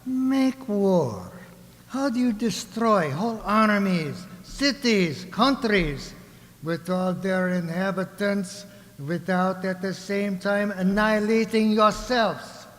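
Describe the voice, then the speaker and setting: A man playing a scheming onion ruler in a radio play, courteous and pompous with a smooth menace. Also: high voice, slow pace, fairly bright tone. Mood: pompous, persuasive. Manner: pompous, mock-genteel menace. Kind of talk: theatrical radio drama acting. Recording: echoey hall with long reverb.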